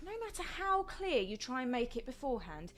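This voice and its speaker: An actress in a radio play playing a down-to-earth, candid masseuse who chats in a confiding, matter-of-fact way. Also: female voice, high-pitched, big pitch swings, fairly quick, fairly bright tone. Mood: wry, gossipy. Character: chatty, down-to-earth young masseuse, candid and wry.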